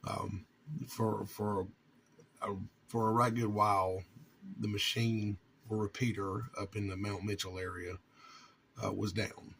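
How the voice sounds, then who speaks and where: A man from North Carolina, talking informally to his viewers in a halting, earnest way with long pauses. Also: lowish voice, flat delivery, gravelly, a bit bright, a little hiss or hum, low-quality recording. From North Carolina, United States. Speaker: vlogger and amateur radio hobbyist.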